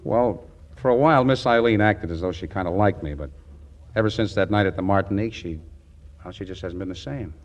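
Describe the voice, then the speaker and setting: A man's voice: low-pitched, fairly quick, lo-fi sound, lightly acted. An actor playing a naive small-town American young man in a 1940s radio comedy. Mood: dejected. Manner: earnest, guileless. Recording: noisy vintage 1940s radio recording.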